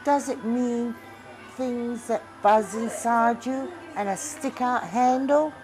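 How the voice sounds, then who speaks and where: Woman as a curious, higher-voiced little toy rabbit. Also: slow, darkish tone, soft background music. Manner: earnest, innocent questioning. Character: small shy naive velveteen toy rabbit, male, curious.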